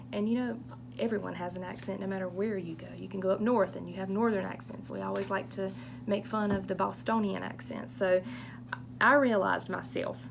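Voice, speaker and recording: A woman's voice: mid-range voice, creaky, noisy background. A middle-aged woman from eastern North Carolina with a strong Southern accent, answering student questions for a college public speaking course in a friendly, reassuring, chatty way. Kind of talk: casual recorded course Q&A.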